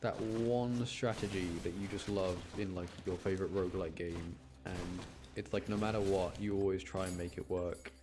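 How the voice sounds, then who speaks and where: A man's voice: low voice, steady tone, normal speed, few pauses, noisy background. A man giving casual, enthusiastic gameplay commentary in a rambling, slangy way. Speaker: game streamer.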